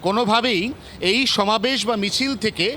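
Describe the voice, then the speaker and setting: Bright, high-pitched male Bengali field reporter. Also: normal speed. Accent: Bengali, West Bengal. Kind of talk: live explanatory news report. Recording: faint outdoor street noise.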